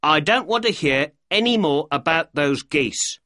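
A narrator voicing a tall, angry male shopkeeper in an audiobook story, shouting and blunt. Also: mid-range voice, big pitch swings, measured pace, no echo, clean studio sound, pitched above the performer's own voice, heavily acted. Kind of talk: audiobook character dialogue.

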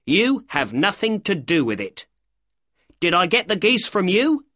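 A narrator voicing a tall, angry male shopkeeper in an audiobook story, shouting and blunt. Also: mid-range voice, sing-song, unhurried, dry recording, studio quality, pitched above the performer's own voice, heavily acted. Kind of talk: audiobook character dialogue.

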